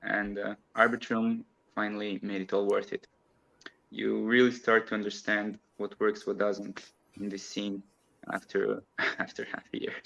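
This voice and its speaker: A man's voice: lowish voice, little pitch movement, unhurried, gravelly, fairly bright tone, highs cut off. A man from Croatia, a guest on a casual online chat, talking in a chatty way and laughing often as he explains.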